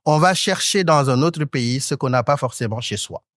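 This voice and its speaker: A man's voice: mid-range voice, lively intonation, a bit bright, no echo, studio quality. Articulate man in his thirties. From Senegal. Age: 30s. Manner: articulate and academic, pausing with hesitant fillers. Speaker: talk show panelist. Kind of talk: panel discussion.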